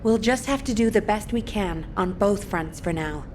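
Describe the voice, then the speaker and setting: A woman's voice: mid-range voice, expressive, normal speed, darkish tone, natural voice. Formal female operations commander played by an actress, noisy background. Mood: concerned, resolute. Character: composed, worried female military operations commander. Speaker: mission commander. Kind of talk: video game cutscene acting.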